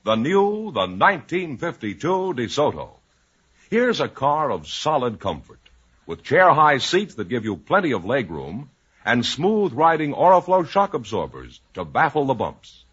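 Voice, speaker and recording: A man's voice: medium pitch, wildly swooping pitch, unhurried, a bit bright, breathy edge. A man announcing on 1950s radio in an emphatic, polished commercial style. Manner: emphatic, polished sales delivery. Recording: muffled 1950s radio broadcast recording.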